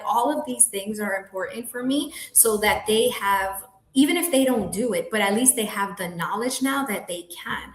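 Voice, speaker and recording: A woman from Colombia, Spanish as her first language, speaking earnestly at a middle pitch, laughing now and then and restarting lines as she goes. Her voice rings in a roomy, echoey space. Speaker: guest, realtor. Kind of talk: casual interview talk. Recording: echoey room.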